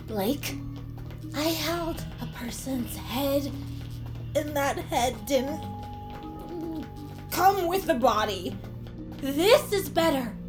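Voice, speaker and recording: A woman playing a dazed, traumatized young woman in a darkly comic audio drama, halting and weighty in delivery. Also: sits high, animated, music in the background, heavily acted. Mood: shell-shocked. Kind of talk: audio drama comedy-horror acting.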